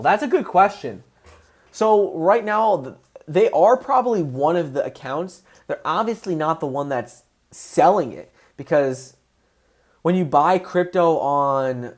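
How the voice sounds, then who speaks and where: A man hosting a casual livestream, excitable and answering viewers' chat questions as he goes. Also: fairly high pitch. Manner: excitable, voice rises when passionate.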